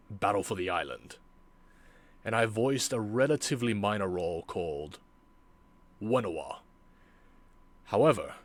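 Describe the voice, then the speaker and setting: Low-voiced man, deliberate and animated vlogger. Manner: deliberate, dramatic pauses and emphatic repetition. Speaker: video creator, former amateur voice actor. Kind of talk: scripted reflective vlog narration.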